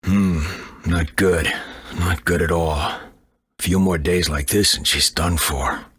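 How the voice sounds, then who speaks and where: A man's voice: deep voice, fairly even intonation, slow pace, fairly bright tone, slightly rough, no room sound, heavily acted. A man voicing a blunt, matter-of-fact duck doctor in a video game. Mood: grave, blunt. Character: blunt, gloomy duck doctor, middle-aged male. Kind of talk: video game character acting.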